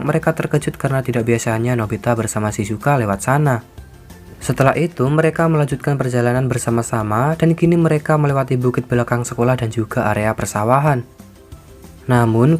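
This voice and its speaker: A man retells a cartoon plot in a casual, scripted narration at an ordinary middle pitch. He talks easily and informally, tossing friendly asides to his viewers. Soft music plays quietly beneath his voice. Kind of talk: casual scripted story recap.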